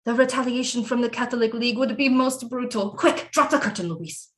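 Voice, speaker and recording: A woman acting as a commanding, scheming Renaissance queen mother in an audio drama, with formal, deliberate delivery. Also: on the high side, fairly bright tone, close to an everyday voice. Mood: urgent, grim. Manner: imperious, formal, deliberate diction.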